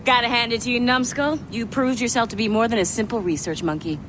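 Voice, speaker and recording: A woman's voice: a little high, fast, noisy background, close to an everyday voice. A woman playing a brash, mocking corporate enforcer in a video game, talking in an informal, cocky way.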